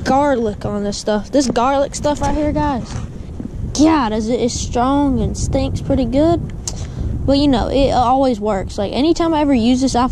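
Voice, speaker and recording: A young man, chatting casually to viewers while fishing at a pond, calling them guys and dude. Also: extremely high pitch. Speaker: fishing vlogger. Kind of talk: casual vlog commentary. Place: outdoors by a windy pond. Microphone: body-mounted action camera. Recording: wind noise outdoors.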